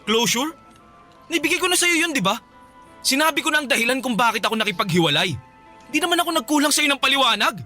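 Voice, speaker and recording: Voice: high-pitched, animated, fast, bright, forceful tone. A performer playing an exasperated, career-minded young man, a fed-up ex-boyfriend, in a Filipino radio drama with impatient delivery. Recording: faint music behind the voice.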